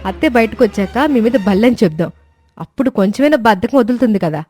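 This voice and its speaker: A woman's voice: fairly high pitch, expressive, fast talker, mellow, faint music behind, lightly acted. A woman voicing a clever young daughter-in-law in a cartoon story, respectful yet teasing. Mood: sly, teasing. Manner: respectful but cheeky.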